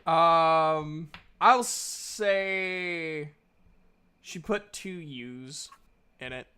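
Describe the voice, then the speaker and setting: A man with a voice slightly higher than average speaks in a casual way, taking part in a tabletop roleplaying session among other players. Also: very slow, bright, forceful tone, smooth. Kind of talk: casual chat during an online tabletop roleplaying game.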